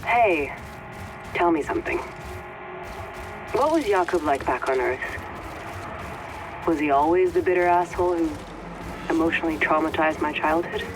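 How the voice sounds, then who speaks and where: A man's voice: fairly high pitch, moderate pace, pauses often, music in the background, close to an everyday voice, poor audio. An actor playing a blunt, wounded younger man in a video game, casual and sardonic. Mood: bitter, probing. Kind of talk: video game cutscene acting.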